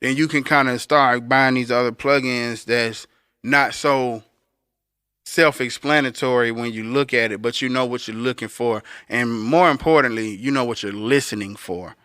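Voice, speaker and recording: A man with a deep voice co-hosting a podcast as a recording engineer, explaining things casually and speaking directly to the listeners. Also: normal speed, sharp tone, smooth, no echo, studio quality. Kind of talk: casual instructional talk.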